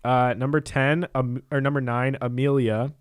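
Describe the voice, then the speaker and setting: Young male podcast co-host, mid-pitch, loose and laughing, dry close mic. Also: normal speed, clear, resonant tone, no room sound. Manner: relaxed, laughs often, reads out lists with asides. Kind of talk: casual chat.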